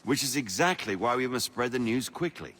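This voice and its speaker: An actor playing a shrewd, persuasive colonial revolutionary leader in a video game, speaking formally and rhetorically with assurance. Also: male voice, medium pitch, expressive, brisk, a bit bright. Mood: assured, pragmatic. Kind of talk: dramatic game dialogue. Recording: long reverberant hall echo.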